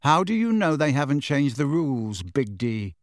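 A man's voice: medium pitch, sing-song, normal speed, no room sound, studio quality, lightly acted. A man voicing a sarcastic, defiant teenage boy wizard with a taunting manner in an audiobook story.

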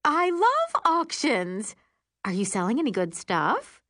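Woman voicing a whiny thirty-something stepmother, high and animated. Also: takes it slowly, dry recording, studio quality, exaggerated voice. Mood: self-absorbed, gushy. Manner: dramatic, complaining. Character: self-absorbed, whiny stepmother of thirty-six, oversharing.

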